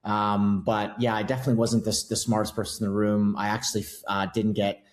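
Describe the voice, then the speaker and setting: Low-voiced Canadian man, conversational. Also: little pitch movement, fairly quick. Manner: conversational, filler-heavy, self-deprecating. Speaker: guest CFO. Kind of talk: podcast interview.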